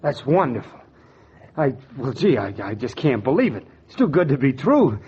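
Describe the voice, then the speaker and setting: Earnest young houseboy, muffled old radio. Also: male voice, mid-range voice, sing-song, moderate pace, a little mellow, a little hiss or hum. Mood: excited. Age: young adult. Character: resentful, meek young houseboy, earnest and bitter. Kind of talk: 1940s radio acting with first-person narration. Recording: dull vintage radio recording with music.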